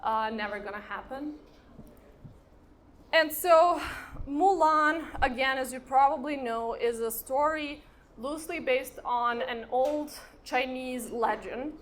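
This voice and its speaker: A woman's voice: high voice, slow pace. A woman, giving an informal conference talk and speaking conversationally and directly to her audience. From Croatia and Serbia. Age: 30s. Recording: live event with background noise.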